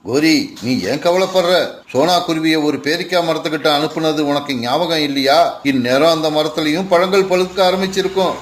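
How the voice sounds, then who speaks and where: A man's voice: on the high side, a little fast, slight background noise, close to an everyday voice. A man voicing a bullying, scornful male crow in a children's cartoon, with a taunting, bossy manner. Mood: scornful, confident.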